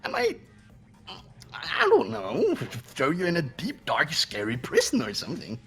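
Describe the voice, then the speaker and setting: A very raspy cartoon robot bear runs a game of cruelty as headmaster. The pitch leaps wildly as it taunts with gleeful, mock-innocent theatrics, over music. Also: wildly swooping pitch, a little slow, full character voice. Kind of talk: fan voiced visual novel playthrough.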